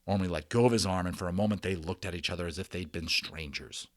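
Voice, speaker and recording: A man reading a children's novel aloud in an expressive, theatrical storytelling style. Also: low-pitched, fast talker, dry recording.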